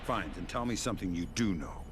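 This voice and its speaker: An actor playing a sardonic, menacing covert agent in a video game, with dry deadpan delivery. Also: male voice, deep voice, brisk, over music. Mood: mocking, threatening.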